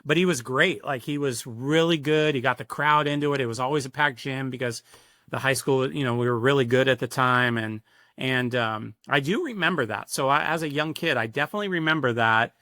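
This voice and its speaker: A man's voice: mid-range voice, brisk, sharp tone, no echo, clean studio sound. A middle-aged American man, a sports announcer, telling stories in a casual, reflective interview with hesitant, conversational phrasing. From Sacramento, California, USA. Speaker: sports public address announcer, podcast guest.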